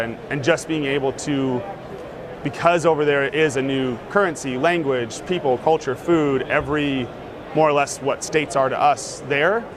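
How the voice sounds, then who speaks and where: Relaxed man demoing a gadget outdoors. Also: medium pitch. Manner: relaxed, explains with fillers. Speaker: interviewee demonstrating a gadget. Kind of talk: casual demo talk. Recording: noisy outdoor street sound.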